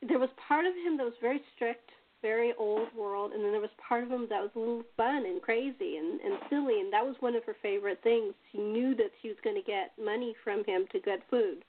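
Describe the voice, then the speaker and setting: A woman's voice: on the high side, fairly quick, few pauses. A woman hosting a casual chat, telling stories in a conversational way. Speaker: host and playwright. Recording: thin phone-like call audio.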